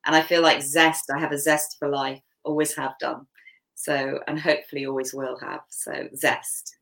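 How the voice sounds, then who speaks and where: A woman's voice: low-pitched, flat delivery, moderate pace, gravelly, fairly bright tone. A woman from Canada, a podcast guest talking openly and reflectively, laughing easily. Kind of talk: casual interview talk.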